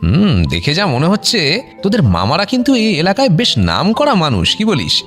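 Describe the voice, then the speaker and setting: A swooping, teasing young friend. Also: male voice, fairly high pitch, huge pitch swings, normal speed, a bit bright. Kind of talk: casual audio-drama dialogue. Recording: music bed under voice.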